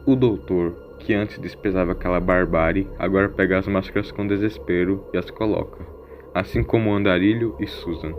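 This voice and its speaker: Male narrator, lowish pitch, scripted storytelling, dull lo-fi recording, soft music behind. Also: steady tone, fairly quick.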